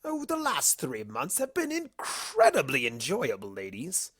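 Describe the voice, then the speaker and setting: A narrator voicing a suave, mischievous male cartoon trickster in a story reading, with slow, deliberate, smug playfulness. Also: medium pitch, wildly swooping pitch, fairly bright tone, no room sound, studio quality, voice pushed up, full character voice.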